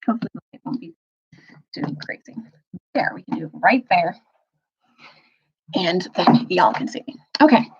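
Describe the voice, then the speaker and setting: Woman, storytime reader, husky voice, casual halting delivery, slightly dull lo-fi recording. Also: on the low side, lively intonation, darkish tone. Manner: halting, informal asides. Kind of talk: reading a children's novel aloud, casual recap.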